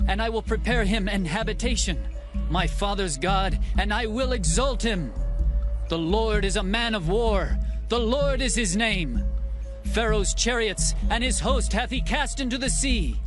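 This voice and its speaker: Moses: high, solemn declaiming over music. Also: male voice. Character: Moses, man singing praise to God. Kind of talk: dramatized scripture reading. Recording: music bed behind.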